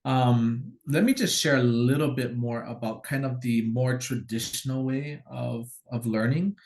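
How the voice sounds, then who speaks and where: Hawaiian man, mid-pitched, easygoing, explains things patiently in conversation. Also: unhurried. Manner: relaxed, explanatory, acts out quoted commands. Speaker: guest teacher. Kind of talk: casual storytelling conversation.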